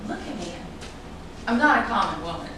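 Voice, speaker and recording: A woman's voice: medium pitch, big pitch swings, brisk, close to an everyday voice. A woman playing a vain, self-assured reality-show star in a staged drama reading. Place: room at a live staged reading. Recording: echoey room, distant mic, noisy.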